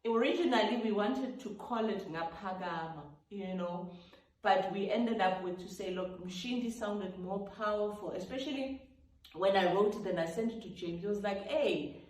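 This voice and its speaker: A woman's voice: medium pitch, normal speed, darkish tone. A woman, a gospel singer-songwriter telling the story behind her song in a reflective, casual way. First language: Sotho. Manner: reflective, breaks into sung snippets and vocal sounds. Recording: echoey room, mic at a distance.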